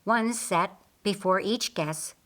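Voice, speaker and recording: A woman reading a scripted story as an audiobook narrator, in a measured and expressive way. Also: mid-range voice.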